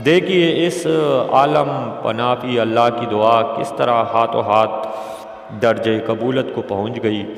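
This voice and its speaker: A male narrator reads a religious moral tale in a formal, measured way. His mid-pitched voice has a creaky, gravelly grain. The recording is heavily echoed, with a long reverberant tail. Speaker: narrator of Islamic moral stories. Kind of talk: scripted religious storytelling narration.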